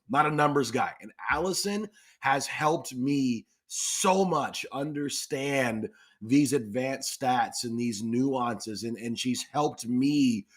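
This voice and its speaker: A man with a mid-pitched voice, guesting on a sports podcast. He speaks casually but emphatically, repeating short phrases to drive them home. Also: fairly bright tone. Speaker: podcast guest, sports radio play-by-play announcer. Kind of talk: casual interview chat.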